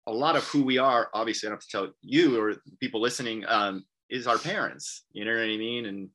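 A man's voice: fairly low pitch, fairly quick, sharp tone, poor audio. A man talking as an interview guest in loose casual conversation, rambling and often repeating "you know what I mean.". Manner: quotes people in acted voices.

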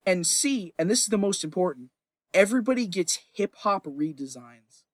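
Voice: mid-range voice, sing-song, moderate pace. A podcast host chatting casually in an opinionated ramble, emphatic and sweary, often repeating phrases for emphasis.

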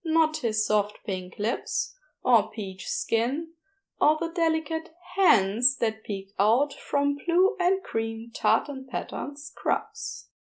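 A woman reading fiction as an audiobook narrator, expressive, with wry, deliberate emphasis. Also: high-pitched.

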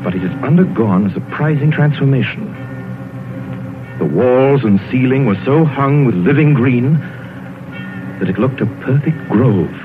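A man's voice: mid-range voice, lively intonation, unhurried, long pauses. A man narrating a story in a sonorous, dramatic 1930s radio style. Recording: tinny 1930s radio recording, music behind.

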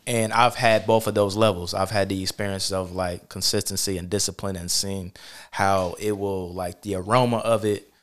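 A man's voice: low voice, fairly even intonation, normal speed, fairly bright tone. A man hosting a podcast in a loose, casual way, full of fillers and affirmations. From Charlotte, North Carolina. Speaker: podcast host. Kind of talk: casual conversation.

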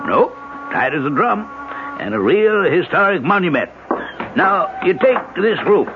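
An actor playing a laconic New England rental agent with a folksy, clipped manner in a 1970s radio drama. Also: male voice, sits high, big pitch swings, unhurried, over music. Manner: folksy, clipped, drawling understatement. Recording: noisy, muffled 1970s radio broadcast.

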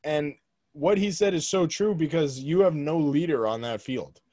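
A man's voice: on the high side, moderate pace, mellow, no room sound, clean studio sound. A young man co-hosting a casual sports podcast, blunt and joking, pushing strong opinions. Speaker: podcast co-host.

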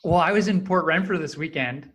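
A man in his thirties chats easily as a podcast guest. His voice sits a bit higher than most men's, and he tells his story in a relaxed, joking way. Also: brisk, husky. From Canada. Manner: friendly, jokey, relaxed storyteller. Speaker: podcast guest, startup co-founder. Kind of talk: casual interview answers.

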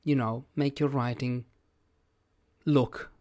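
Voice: medium pitch, steady tone, slow, dark tone, dry recording, studio quality. An English teacher whose first language is Italian, speaking with an Italian accent in a plain-spoken, reflective podcast lesson, thinking aloud as they go.

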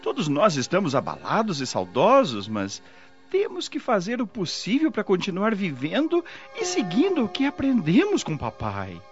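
A man acting in a radio drama as a responsible, steady adult brother, speaking earnestly. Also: high voice, moderate pace, over music. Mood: grieving, steadying. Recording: dull lo-fi radio drama audio.

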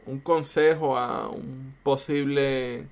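A man's voice: medium pitch, steady tone, slow pace. A man hosting an interview, asking questions and tagging a checking word onto almost every phrase. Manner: leans on a tag question after every phrase. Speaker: interview host.

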